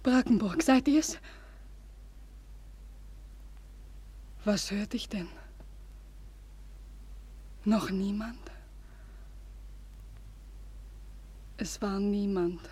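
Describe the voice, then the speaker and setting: Breathy, despairing young lover. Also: female voice, mid-range voice, mellow. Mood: anxious, stunned. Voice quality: very breathy, often half-whispered. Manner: slow, halting, intense declamation. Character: desperate, devoted young woman, lover of a condemned hero. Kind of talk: classical German radio drama monologue.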